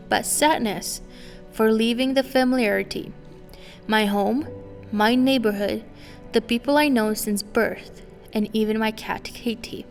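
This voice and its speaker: A woman in her twenties, born in Romania and a native Romanian speaker, calmly reading her own story as scripted narration. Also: mid-range voice, gravelly. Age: 20s. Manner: calm, reflective, read aloud line by line. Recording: long reverb with faint music behind.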